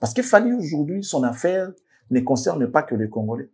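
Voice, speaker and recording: A man's voice: a little high, very animated, brisk, darkish tone, breathy edge. A man commenting in a casual studio discussion, switching between French and Lingala, often restarting and repeating words. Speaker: commentator guest.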